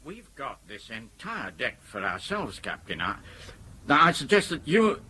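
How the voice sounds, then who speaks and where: Bright-voiced, deferential airline crewman. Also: medium pitch, big pitch swings, a little slow, husky, full character voice, poor audio. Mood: brisk, helpful. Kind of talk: radio drama acting.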